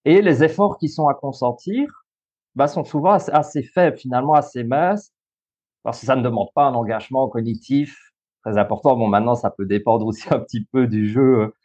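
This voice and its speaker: A man's voice: medium pitch, a little fast, a little mellow, highs cut off. A man of thirty with a Belgian French accent, explaining as a guest on a podcast interview, with many fillers and restarts. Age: 30s. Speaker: guest, school coach and social worker.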